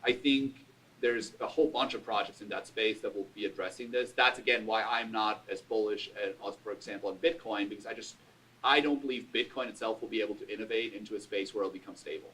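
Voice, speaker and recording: Low-voiced panelist man, distant mic. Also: quick pace, bright, forceful tone. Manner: casual, uses filler "like". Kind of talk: panel discussion.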